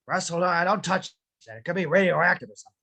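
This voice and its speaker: A man's voice: high voice, quick pace, pushed and bright, exaggerated voice. A man playing a grumpy, sceptical old man, curt and exasperated, at a table read. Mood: wary. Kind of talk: animated film script table read.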